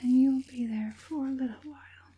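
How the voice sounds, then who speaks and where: A woman playing a sinister, coaxing witch puppet master in a whispered ASMR roleplay, speaking softly and deliberately in a breathy whisper. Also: high voice. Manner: slow, soothing, deliberate, menacingly sweet.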